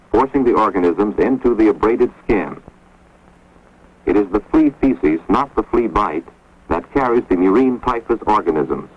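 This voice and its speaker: A man giving measured, grave scripted narration for an instructional film, recorded on a dull, lo-fi 1950s film soundtrack. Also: on the low side, breathy edge. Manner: measured, grave, authoritative delivery.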